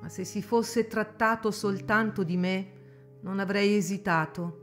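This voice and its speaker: A woman's voice: medium pitch, steady tone, a little slow, natural voice. Actress as a young wife and mother, pleading yet dignified, radio play, guitar faintly behind. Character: gentle wounded young mother. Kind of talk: radio drama acting.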